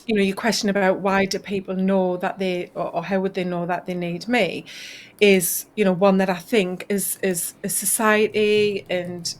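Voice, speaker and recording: A woman's voice: medium pitch, flat delivery, normal speed. A woman from northeast England with a Northeast English accent, a health coach guest speaking earnestly and thoughtfully on a video call, often saying "you know". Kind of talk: casual video call interview. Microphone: video call mic.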